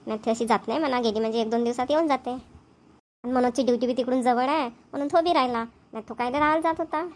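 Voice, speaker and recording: A woman's voice: fairly high pitch, quick pace, darkish tone, lightly acted. A woman voicing a doting, chatty village mother-in-law and grandmother in a cartoon comedy, with a rural Maharashtrian Varhadi accent. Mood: delighted, chatty. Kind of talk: Marathi cartoon comedy voice acting.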